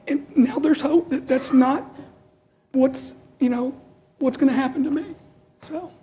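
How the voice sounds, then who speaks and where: A middle-aged woman answering as a patient on a panel, halting and earnest, repeating words as she searches for them. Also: high voice, dark tone.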